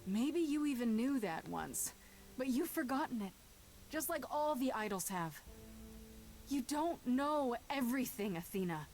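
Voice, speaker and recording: A bold young heroine of a story-driven video game, challenging and accusing. Her voice sits fairly high, with music playing behind it. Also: very animated, unhurried, darkish tone. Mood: firm accusation. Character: defiant young woman confronting gods, accusing and bold.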